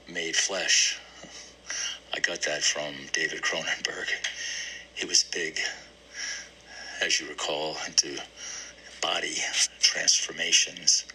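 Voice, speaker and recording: A man's voice: deep voice, bright, forceful tone, slight background noise, heavily acted, rough recording. A man playing a brooding therapy patient in a play, musing hesitantly and repeating phrases as he searches for words. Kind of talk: dramatic one-act play monologue.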